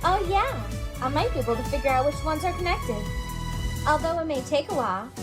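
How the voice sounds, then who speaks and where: Brief: actress as brainy cartoon critter girl, high voice, level-headed, music behind. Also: lively intonation, a little fast. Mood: hesitant. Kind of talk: animated comic dub acting. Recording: voice over prominent music.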